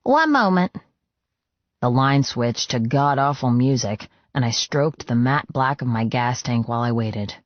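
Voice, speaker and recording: A man's voice: medium pitch, steady tone, normal speed, few pauses, dry recording. A man narrating a novel in the first person as a scripted, intense and dramatic read. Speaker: audiobook narrator. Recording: dry, slightly dull sound.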